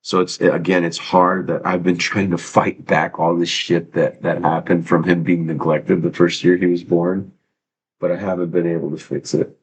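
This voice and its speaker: Streamer host, low male voice, rambling and profane, light background noise. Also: level tone, moderate pace, rough recording. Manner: rambling, casual and profane, many fillers and restarts. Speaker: livestream host. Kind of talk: casual livestream chat.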